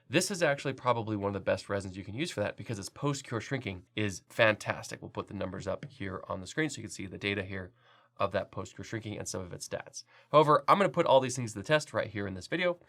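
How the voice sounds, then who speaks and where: A man's voice: fairly low pitch, fairly bright tone. Congested, chatty man with a cold. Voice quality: nasal. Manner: chatty, loose, with frequent fillers and restarts. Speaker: 3D printing channel host. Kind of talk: casual product review.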